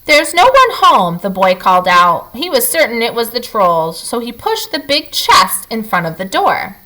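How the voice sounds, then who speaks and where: Animated, bright-voiced woman reading a storybook. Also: mid-range voice, normal speed, low-quality recording. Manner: animated, dramatic emphasis, addressing young listeners. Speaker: read-aloud storyteller. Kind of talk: expressive children's picture-book read-aloud.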